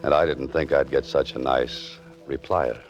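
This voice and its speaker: A man's voice: rumbling low, steady tone, moderate pace. An American man playing a wry, easygoing writer-adventurer in a 1940s radio detective drama, with laconic, hesitant asides. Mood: charmed, hesitant. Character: wry, easygoing male writer-adventurer narrating his own case. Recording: dull old radio recording with music.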